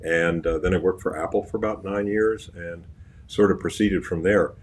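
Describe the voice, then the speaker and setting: A retired man in his seventies speaking as a podcast panelist, plain and unhurried. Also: sits low, fairly even intonation, slightly rough. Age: 70s. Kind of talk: casual panel chat.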